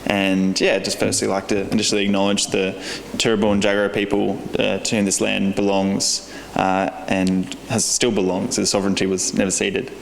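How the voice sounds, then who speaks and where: A young Australian man in his early twenties gives a conference keynote in an earnest, halting way, with many fillers and restarts. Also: lowish voice, monotone, gravelly, a little hiss or hum. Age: young adult. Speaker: keynote speaker. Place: large convention hall. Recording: echoey convention hall.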